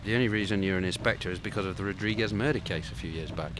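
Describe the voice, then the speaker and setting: Deep, brisk, sardonic police sergeant male amateur actor, grumbling sidekick, clear background noise. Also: close to an everyday voice. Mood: weary sarcasm. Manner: grouchy, sardonic. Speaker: actor in amateur college radio play. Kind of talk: radio-play dialogue.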